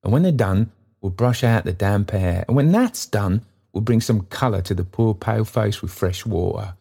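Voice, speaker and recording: Tender young daughter, soothing and lilting, played by a man with a gravelly voice. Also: fairly low pitch, lively intonation, moderate pace, warm tone, voice pushed up, full character voice. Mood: tender, coaxing. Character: gentle young woman, a fond, caring daughter.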